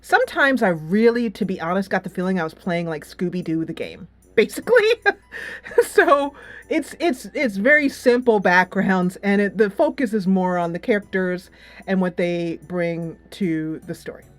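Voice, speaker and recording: A woman's voice: mid-range voice, animated, normal speed, dry recording. A woman hosting a casual game review, talking in a chatty, loosely structured, emphatic way. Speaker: game reviewer and channel host. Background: music.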